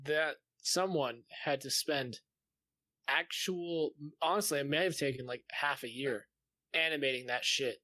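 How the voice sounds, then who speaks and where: Bright, higher-voiced man swearing through casual banter. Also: measured pace, no room sound. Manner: sweary, slangy, exclamatory. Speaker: podcast co-host. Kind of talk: casual chat, animated storytelling.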